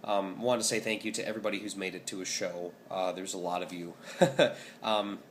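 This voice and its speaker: Hoarse young man vlogging casually. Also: sits low, steady tone, a little fast, a little hiss or hum. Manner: rambling, many ums and restarts. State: hoarse, scratchy voice. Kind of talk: casual vlog update.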